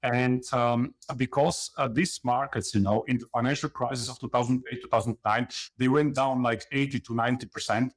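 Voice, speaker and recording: A man from Slovenia, a guest in an interview, explaining investing in a halting way full of fillers and "you know". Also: medium pitch, fairly even intonation. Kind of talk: conversational interview.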